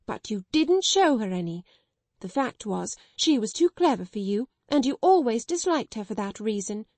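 A woman doing a timid spinster schoolmistress, high and tearful, shrill outbursts, dry close sound. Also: expressive, normal speed, dark tone, no echo. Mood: hysterical, accusing. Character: timid middle-aged spinster schoolmistress, turned hysterical.

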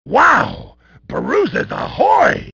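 Hammy gravelly game announcer, high-pitched for a man, swoops all over the place, loves the carnage. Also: wildly swooping pitch, takes it slowly, pushed and bright, no room sound, heavily acted. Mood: excited, bellowing. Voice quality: gravelly, raspy. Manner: hammy, wisecracking, often bellowing. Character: bombastic, gleefully violent male arena combat sports announcer. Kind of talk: over-the-top sports commentary for a video game.

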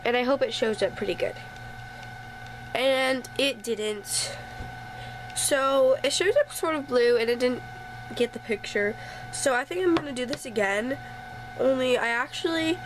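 High-voiced stuffy woman chatting thru a craft how-to at her table, faint pump hum behind. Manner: chatty, apologetic asides while working. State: congested, stuffy nose. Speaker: craft tutorial host. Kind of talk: casual craft tutorial narration. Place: home craft table, indoors.

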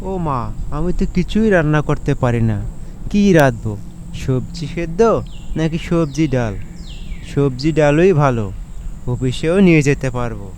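Man acting grumbling slow-talking cartoon everyman. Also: a little high, animated, a little mellow, a little hiss or hum. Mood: delighted. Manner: talks to himself, exclaiming, sighing. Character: Sharif, grumbling poor bachelor office worker, kind-hearted. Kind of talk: animated folk tale voice acting.

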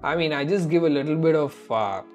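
A man's voice: fairly high pitch, expressive, a little fast, faint music behind. A young man with a mixed Indian and Canadian accent reviewing a tech tool in casual, filler-heavy chat from a home room. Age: young adult. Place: home room lit by neon lights.

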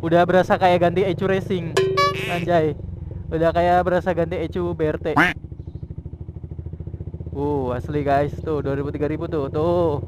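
High-voiced young man vlogging over engine noise. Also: normal speed, long pauses. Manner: excitable, slangy, chatty, bursts of loud enthusiasm. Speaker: motovlogger. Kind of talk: casual motorcycle test-ride vlog. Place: outdoors on a moving motorcycle on town streets. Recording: loud engine and traffic noise.

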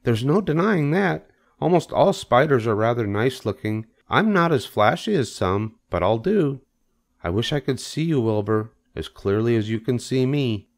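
A man's voice: mid-range voice, expressive, normal speed, gravelly, pitched above the performer's own voice. A man voicing a small, kindly female spider in a children's storybook, thin-voiced, poised and matter-of-fact. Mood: self-assured. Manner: matter-of-fact, slightly fancy speech.

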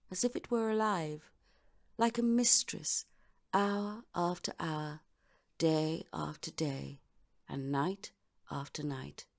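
A woman voice-over artist delivering a scripted dramatic monologue in a deliberate, theatrical manner with measured pauses. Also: low-pitched, creaky.

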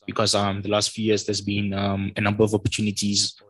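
A man's voice: on the low side, monotone, brisk, a bit bright, slightly rough, no echo, low-quality recording. A man asking questions from the audience, hesitant with many ums.

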